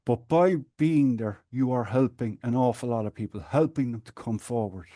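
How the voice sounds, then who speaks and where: Irish guy explaining stuff slowly and carefully, mellow voice, dry sound. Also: medium pitch, no room sound. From Ireland. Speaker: guest, support group administrator. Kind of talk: earnest conversational interview.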